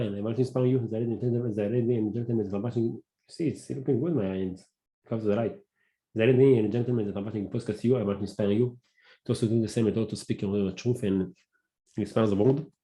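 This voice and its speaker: A man with a Hebrew accent, a native Hebrew speaker, hosting a casual solo podcast and talking haltingly, often searching for words and restarting. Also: lowish voice, dark tone, poor audio. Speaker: podcast host.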